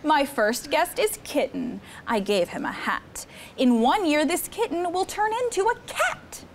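A young woman hosting story time, reading a picture book aloud to children in an expressive, encouraging way. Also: sits high, a little slow, a little hiss or hum. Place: studio set with seated children.

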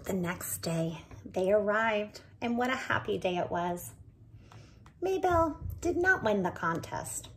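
A woman's voice: mid-range voice, animated, measured pace, slight background noise. A woman in her thirties, a teacher reading a picture book aloud to children, adding friendly asides and explaining words, recorded close on a phone camera in a small room at home. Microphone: phone or laptop camera close.

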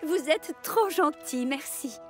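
A sweet lady fire engine with some pride, from a children's cartoon. The voice is very high and animated, over faint music. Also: slow pace, full character voice. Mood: bashful. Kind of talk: children's cartoon voice acting, French dub.

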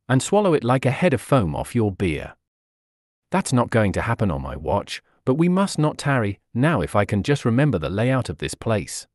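A man's voice: medium pitch, darkish tone, dry recording, clean studio sound. Man putting on a rambling old wizard. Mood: fretful. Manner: rambling, forgetful, scolding. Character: doddering, wheezing old male wizard.

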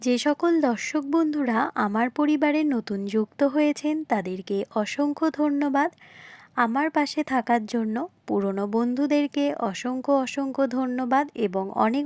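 High-pitched woman narrating a recipe. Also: normal speed, darkish tone, dry recording. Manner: polite, repetitive explaining, addressing viewers directly. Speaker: home cooking video host. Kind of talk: recipe narration, instructional voice-over.

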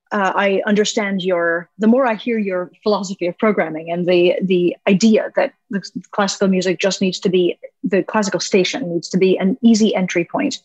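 A woman's voice: mid-range voice, darkish tone, dry recording. A woman with a mid-range voice hosts a podcast, thoughtfully summing up and building on what her guest said. Her recording is dry and a little muffled. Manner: engaged, thoughtful summarizing. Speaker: podcast host. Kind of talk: interview podcast.

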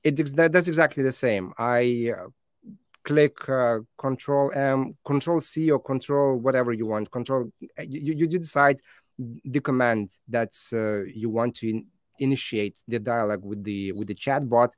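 A man in his thirties, a native Lithuanian speaker, gives a conference talk over slides, halting with many fillers and restarts. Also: mid-range voice, no echo, clean studio sound.

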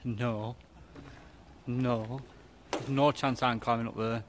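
A man's voice: mid-range voice, fairly even intonation, takes it slowly, background noise. A man, giving offhand, mumbled commentary while filming inside a large empty factory hall on a handheld camera. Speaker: explorer filming. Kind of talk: casual walkthrough commentary. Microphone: handheld camera mic.

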